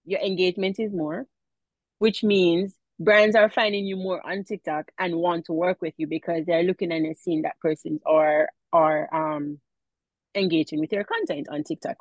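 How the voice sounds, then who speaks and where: A woman with a Jamaican accent, chatting as a guest in a casual interview, animated and laughing often. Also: fairly low pitch, no echo, rough recording. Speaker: guest, mom influencer.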